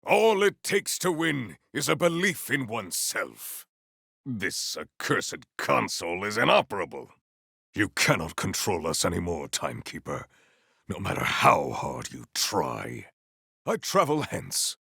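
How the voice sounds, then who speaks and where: Deep, grandiose noble warrior. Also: male voice, big pitch swings, a little slow, vocal fry, sharp tone, slightly rough, no room sound, studio quality, exaggerated voice. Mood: grave, declamatory. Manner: formal, archaic, grandiose phrasing. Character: noble, solemn warrior hero, valiant and boastful. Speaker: video game voice actor. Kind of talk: theatrical video game character lines.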